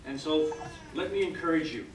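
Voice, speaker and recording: A man's voice: a little high, moderate pace. An older man from Canada whose first language is French, delivering an encouraging speech to a room full of new citizens. He keeps checking in with his listeners. The sound is roomy and picked up from a distance, with noise behind him. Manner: encouraging, repeatedly checks in with "okay?". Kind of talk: ceremonial speech. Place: large hall with an audience. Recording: echoey hall, mic far off, noisy.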